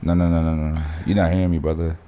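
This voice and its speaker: A man hosting a podcast, talking in an animated, emphatic way full of slang and swearing. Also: deep voice, racing. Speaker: podcast host. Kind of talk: casual podcast conversation.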